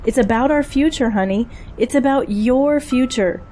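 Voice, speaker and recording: Busy political strategist and mom played by a woman, middle-aged, high-pitched, earnest, radio-drama delivery, slight noise behind. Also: moderate pace, warm tone, lightly acted. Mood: earnest, pleading. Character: busy political campaign manager and single mother. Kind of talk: audio drama acting.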